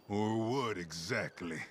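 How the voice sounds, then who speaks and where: An actor playing a smug, threatening thug in a western video game, speaking in a taunting way. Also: male voice, lowish voice, fairly bright tone, slight background noise. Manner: slow, taunting.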